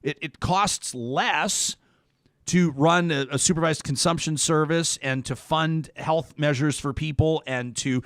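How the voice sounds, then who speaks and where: A man hosting a conversational interview, speaking haltingly and often repeating words as he rephrases. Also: on the high side, a bit bright, no echo, studio quality. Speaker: talk show host.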